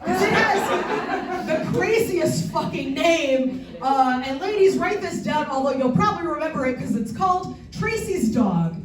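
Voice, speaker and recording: A woman, delivering bawdy punchlines deadpan between chatty asides. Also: sits high, unhurried, few pauses, echoey room, distant mic, a faint crowd, poor audio. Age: 40s. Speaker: stand-up comedian. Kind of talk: stand-up comedy set. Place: comedy theatre stage before a live audience.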